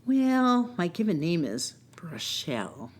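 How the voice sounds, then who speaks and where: A woman playing expressive young sweetheart. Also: fairly low pitch, takes it slowly, slightly rough, exaggerated voice. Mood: polite, hesitant. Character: young female teacher, sweet, practical, in love. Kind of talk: radio story acting.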